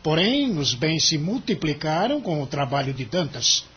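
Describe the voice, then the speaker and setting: A Brazilian actor playing a cynical, money-minded senior lawyer in an audio drama, emphatic and condescending. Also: male voice, on the high side, sing-song, normal speed, fairly bright tone, highs cut off, a little hiss or hum. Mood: grave, gossipy. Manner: emphatic, condescending, theatrical delivery.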